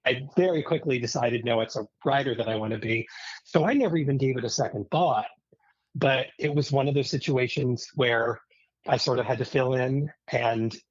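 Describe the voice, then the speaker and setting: A middle-aged man with an American accent chats as an interview guest. His voice sits at an average pitch and he talks in a reflective, storytelling way. The recording is dry and a little muffled. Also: moderate pace, dry recording. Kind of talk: casual conversational interview.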